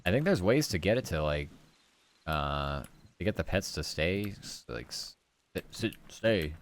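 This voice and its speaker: A man's voice: low-pitched, sing-song, measured pace. A man livestreaming gameplay, chatting casually with viewers in a cozy, easygoing voice, musing aloud and trailing through his thoughts. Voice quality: comfy, cozy tone.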